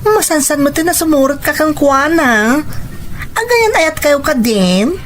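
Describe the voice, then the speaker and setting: Woman as skeptical girlfriend confidante, blunt and protective, fairly high young voice, drama dialogue. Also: expressive, a little slow. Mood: skeptical, warning. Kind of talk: scripted audio drama dialogue.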